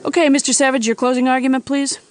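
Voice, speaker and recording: A woman's voice: sits high, steady tone, fast talker, slight background noise, natural voice. A woman playing a wry, weary cartoon judge with a clipped, commanding manner. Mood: brisk, wry.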